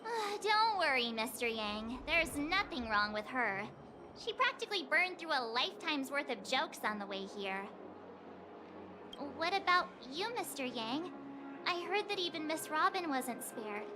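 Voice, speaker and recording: A woman's voice: sits high, very animated, unhurried, noisy background. A woman voicing a playful, curious young girl companion in a video game. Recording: music under the voice.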